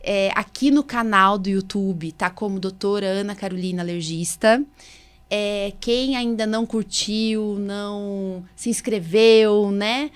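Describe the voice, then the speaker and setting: A woman with a Brazilian Portuguese accent hosts a casual podcast. Her voice sits at a middle pitch, and she talks in an informal, chatty manner, like a doctor in relaxed conversation. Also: measured pace, smooth. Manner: chatty, informal, recounts exchanges in acted-out dialogue. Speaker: podcast host, allergist doctor.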